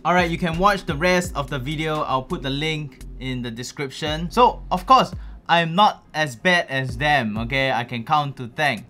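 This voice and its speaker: A man's voice: fairly high pitch, moderate pace, soft background music. A young man from Singapore with a Singaporean English accent, talking casually and animatedly to camera in a small room on a studio mic. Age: young adult. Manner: animated, gestures a lot, chatty asides. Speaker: youtuber host. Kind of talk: casual explanatory vlog. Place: small dark room with LED lights. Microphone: studio mic, headphones, seated at desk.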